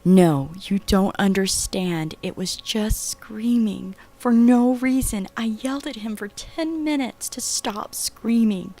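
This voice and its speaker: A man's voice: high voice, takes it slowly, few pauses, darkish tone, voice pushed up. Jenny, a man putting on a frantic young woman. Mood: panicked. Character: frightened young woman, panicked and tearful.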